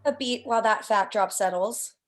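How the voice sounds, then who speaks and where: A woman's voice: on the high side, normal speed. A woman reading stage directions as scripted narration for a screenplay table read.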